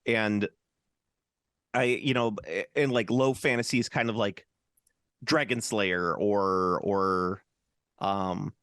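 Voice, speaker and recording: A man's voice: on the low side, steady tone, takes it slowly, long pauses, a bit bright, smooth, dry recording, clean studio sound. A man co-hosting a podcast in casual chat, joking and rambling with many restarts. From United States. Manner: rambling, jokey, lots of restarts and fillers. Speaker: podcast co-host.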